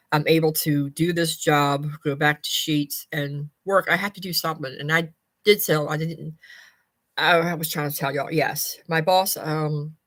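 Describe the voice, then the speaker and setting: A woman's voice: low-pitched, no echo. Dry-sounding, rambling woman in her fifties. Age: 50s. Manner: rambling, repeats and corrects herself, folksy wording. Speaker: personal vlogger giving a life update. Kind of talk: casual chat to viewers.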